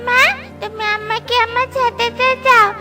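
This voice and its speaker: Tiny, squeaky pleading child bird. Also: female voice, level tone, a little slow. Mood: eager, concerned. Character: kind, anxious little child bird. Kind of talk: children's cartoon dubbing. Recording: loud children's music behind.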